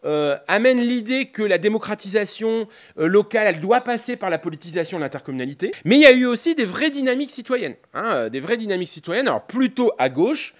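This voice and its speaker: A man's voice: sits high, very animated, fast, hardly any pauses. A man giving an analytical, semi-improvised academic lecture, often hesitating, breaking off and rephrasing as he explains. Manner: hesitant, repeatedly breaking off and rephrasing, punctuated with rhetorical tags. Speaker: political scientist, university lecturer.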